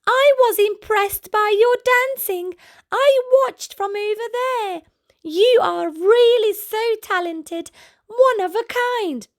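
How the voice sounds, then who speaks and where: A woman voicing a gentle, polite female giraffe in a children's story. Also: slow pace, full character voice. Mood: admiring. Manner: sweet, high, polite.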